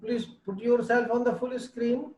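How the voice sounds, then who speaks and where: A man's voice: very high voice, fairly even intonation, a little slow, darkish tone. A man hosting a webinar, formally introducing a guest speaker and repeating key phrases for emphasis. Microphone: video call. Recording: echoey, dull lo-fi video-call audio.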